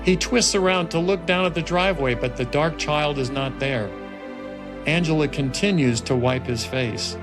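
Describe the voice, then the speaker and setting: A man's voice: fairly high pitch, normal speed. A man reading stage directions as the narrator of a film script table read, in dramatic scripted narration. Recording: music laid clearly behind the voice. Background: music and sound effects.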